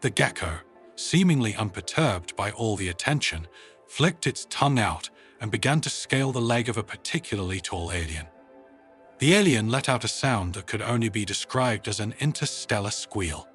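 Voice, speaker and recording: A man's voice: fairly low pitch, animated, moderate pace, fairly bright tone, faint music behind. A man reading a scripted story as its narrator.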